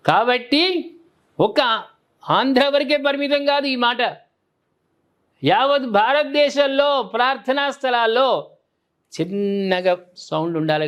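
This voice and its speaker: A man's voice: sits high, dull audio. A man with a Telangana Telugu accent presenting opinionated news commentary to viewers in a colloquial, emphatic way, often putting questions to them.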